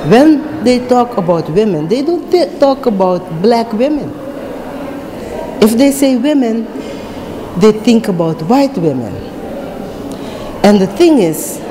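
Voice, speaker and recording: A woman stating her opinion plainly in a noisy hall. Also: mid-range voice. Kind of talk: spoken statement of opinion. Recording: reverberant hall with clear noise.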